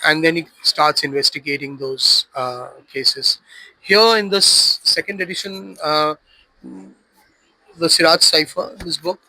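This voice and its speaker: A man, an author describing his book in a hesitant, self-deprecating way with many pauses. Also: on the high side, fairly even intonation, bright, forceful tone, no room sound, poor audio. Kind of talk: casual book pitch.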